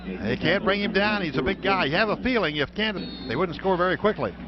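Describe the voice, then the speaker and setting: An American man calling football play-by-play on the radio in clipped running descriptions that get excited on big plays. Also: sits high, fairly quick. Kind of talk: live American football commentary. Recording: dull, noisy radio broadcast from stadium.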